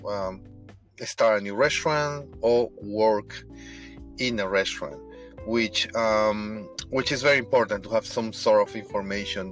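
A man's voice: fairly low pitch, fairly bright tone. A man in his thirties from Peru with a thick Peruvian Spanish accent, whose first language is Spanish, hosting a casual podcast and hesitating often, with many fillers and restarts. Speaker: podcast host, restaurant manager. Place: in a car while driving.

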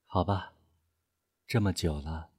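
Man playing a slow, husky, enigmatic figure. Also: deep voice, a little mellow, exaggerated voice. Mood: musing. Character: enigmatic, world-weary male figure of long memory. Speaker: voice actor. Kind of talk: modern Chinese audio drama acting.

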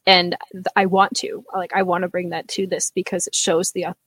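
A woman's voice: on the low side, little pitch movement, brisk, a bit bright, no room sound. A woman co-hosting a podcast in casual chat. She talks candidly and laughs often. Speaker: podcast co-host.

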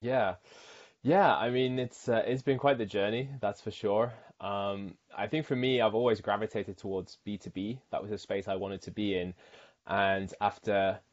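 A man's voice: on the low side, steady tone, normal speed, highs cut off. A man from the UK, a marketing director, talking casually as an interview guest with frequent fillers and restarts.

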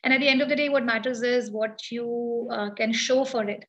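A woman gives a reflective interview answer as an invited architect. Her voice sits a little high, and she thinks aloud at an unhurried pace, filling the gaps with soft ums and uhs. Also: steady tone, dull audio. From India. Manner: thoughtful, hesitant, many ums and uhs. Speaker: guest, architect and founder of a design collective.

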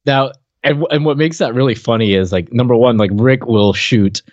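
A man's voice: mid-range voice, moderate pace, darkish tone, dry recording, muffled recording. A man co-hosting a podcast, chatting casually and explaining things in a loose conversational way. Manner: conversational, repeats "like" while explaining. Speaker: podcast co-host. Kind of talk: casual commentary chat.

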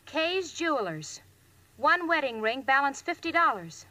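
An actress playing a sensible, exasperated young working woman with crisp theatrical delivery in 1940s radio comedy. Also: high voice, very animated, measured pace, bright, forceful tone, dry recording, exaggerated voice. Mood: indignant. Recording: faintly noisy old radio recording.

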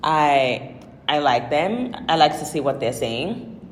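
A young woman with a low, deep voice co-hosts a chatty podcast, teasing and joking in animated back-and-forth. Her pitch swings widely as she talks, and the room gives a long, roomy echo with faint ambience behind her. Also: slow pace, slight background noise. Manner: playful teasing, animated banter. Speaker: podcast co-host. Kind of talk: casual chat.

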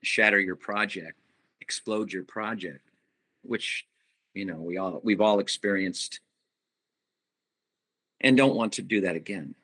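Low-voiced, forceful middle-aged man. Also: slightly rough. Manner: reflective, pausing to find words. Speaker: musician guest, band singer. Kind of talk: casual interview answers.

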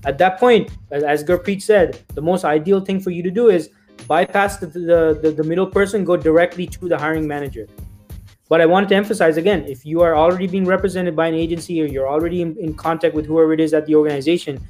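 A man's voice: on the high side, fairly even intonation, quick pace, a little mellow, faint music behind. A man co-hosting a livestream career discussion as a recruiter, explaining his points emphatically and repeating them for stress. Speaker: staffing recruiter and show co-host.

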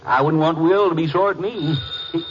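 A man's voice: fairly high pitch, close to an everyday voice. An actor as a folksy, gossipy Southern counterman. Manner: folksy, drawn-out, friendly. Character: chatty, gossipy male small-town diner counterman. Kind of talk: old-time radio drama acting. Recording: hissy, dull old radio recording.

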